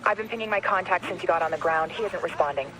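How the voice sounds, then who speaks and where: An actor playing a tense intelligence operative reporting in during a game cutscene. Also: male voice, high voice, fast talker, background noise, close to an everyday voice. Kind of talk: dramatic game cutscene acting.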